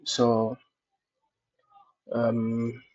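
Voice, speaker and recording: A man's voice: medium pitch, monotone, drawn-out words, a little mellow. A man with a cold hosting a screen-recorded cloud lab tutorial, explaining step by step with many "okay, so" fillers. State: has a cold. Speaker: tutorial host. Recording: dull lo-fi screencast audio.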